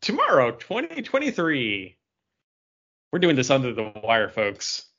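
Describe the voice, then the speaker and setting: A man's voice: mid-range voice, huge pitch swings, a little slow, fairly bright tone, muffled recording. A man chatting casually and informally as a podcast co-host, with bantering delivery. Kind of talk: casual chat about comic books.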